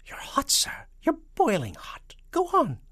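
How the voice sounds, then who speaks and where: Jittery storybook spy, squeaky falsetto, stammers and flatters, swoops everywhere. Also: unhurried, no room sound, whispered, studio quality, full character voice. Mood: excited, urging. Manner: hesitant, stammering, excitable.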